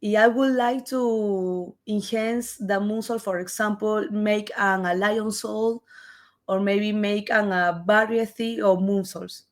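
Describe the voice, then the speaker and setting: A woman in her twenties from Peru with a Peruvian Spanish accent, Spanish-native, answering interview questions haltingly and with frequent laughs. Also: mid-range voice, fairly even intonation. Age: 20s. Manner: halting, searching for words, laughs often. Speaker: wrestler guest.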